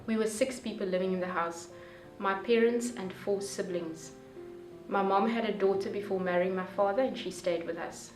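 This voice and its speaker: A young woman reading a first-person story aloud in a careful, measured way. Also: mid-range voice. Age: young adult. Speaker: youth reader. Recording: distant echoey room with soft music.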